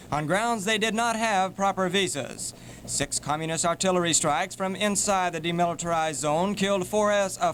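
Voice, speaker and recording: High-pitched American man, vintage radio DJ. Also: a bit bright, a little hiss or hum. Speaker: radio DJ and news reader. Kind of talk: 1960s AM radio DJ patter and news reading. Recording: 1968 AM radio tape, music behind. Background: music.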